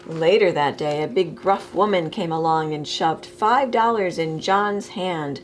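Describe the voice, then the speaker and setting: A woman reading a children's picture book aloud expressively, with deliberate phrasing, as a storytime reader. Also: lowish voice, slight background noise.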